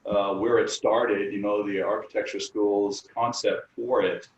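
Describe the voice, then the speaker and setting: A middle-aged man from the New York area, an architect answering interview questions in a halting way, full of fillers and restarts. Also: fairly low pitch, level tone, a little hiss or hum. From New York area, United States. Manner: hesitant, many fillers and restarts. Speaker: architect, firm co-founder. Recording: distant mic, dull roomy sound.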